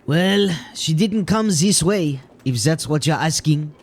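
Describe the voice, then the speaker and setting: A male actor plays a town gatekeeper from a medieval audio drama. He takes his time over every phrase in a fairly high male voice. His manner is plain, folksy and deferential, as if thinking aloud. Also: animated, a little slow, darkish tone. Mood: musing, casual. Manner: folksy, musing, colloquial turns of phrase.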